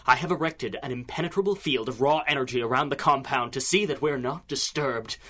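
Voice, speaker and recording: A man's voice: mid-range voice, fairly quick, a bit bright, close to an everyday voice. An actor playing a vengeful, theatrical male supervillain in a radio serial, declaiming like an accusing prosecutor. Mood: defiant. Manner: grandiose, oratorical, accusing. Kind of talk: 1930s radio serial acting.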